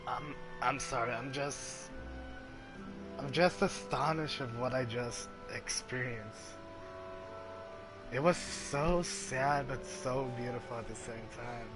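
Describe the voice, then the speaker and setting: A man giving casual reaction commentary on a video game, halting and repeating his words. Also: mid-range voice, poor audio. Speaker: gamer commenting on playthrough. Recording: game music behind the commentary.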